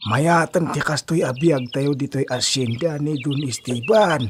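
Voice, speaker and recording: A man's voice: on the high side, measured pace, natural voice. A man with an Ilocano accent playing a folksy, chuckling old village grandfather full of advice in a radio comedy-drama, with birdsong behind him. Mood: folksy, hearty. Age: older. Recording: noisy track with chirping birds.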